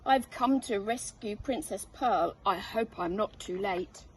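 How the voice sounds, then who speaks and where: A woman's voice: mid-range voice, unhurried, lightly acted. A woman voicing a gallant, earnest male knight in a children's storybook, in a declamatory manner. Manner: declamatory, chivalrous. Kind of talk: children's storybook read-aloud. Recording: distant mic, faint noise.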